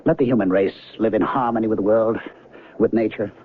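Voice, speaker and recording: An actor playing an earnest, disillusioned, restless man in 1970s radio drama, speaking theatrically and emphatically with halting pauses. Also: lowish voice, close to an everyday voice. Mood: grave, impassioned. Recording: thin phone-like old radio recording, noisy.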